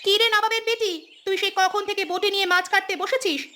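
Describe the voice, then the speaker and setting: A woman voicing a sharp-tongued, selfish village aunt in a cartoon, scolding and grumbling. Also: very high voice, steady tone, fast, a little hiss or hum. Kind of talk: Bengali cartoon dubbing.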